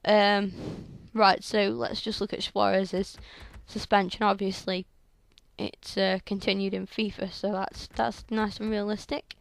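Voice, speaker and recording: A young woman with a sore, croaky throat, chatting through video game commentary on a headset mic in a rambling way full of fillers. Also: medium pitch, flat delivery, measured pace, no echo. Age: young adult. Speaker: gaming channel host. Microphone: headset microphone.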